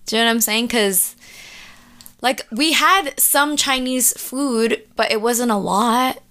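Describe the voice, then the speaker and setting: A young woman from Jamaica chats casually, with lively emphasis and constant check-ins. Her voice sits somewhat high. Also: unhurried. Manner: animated, emphatic, keeps asking "do you know what I'm saying?". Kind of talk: casual chat.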